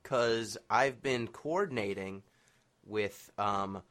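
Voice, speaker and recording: A man's voice: on the low side, slow pace, a bit bright. A man hosting a casual interview, with a playful, teasing way of chiming in. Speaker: podcast host, interviewer. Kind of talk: casual chat.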